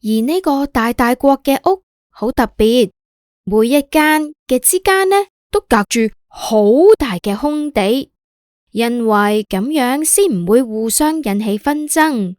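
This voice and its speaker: A woman's voice: a little high, lively intonation, measured pace, a little mellow, no echo, studio quality. A woman with a Hong Kong accent narrating a scripted children's story in a simple, explanatory way.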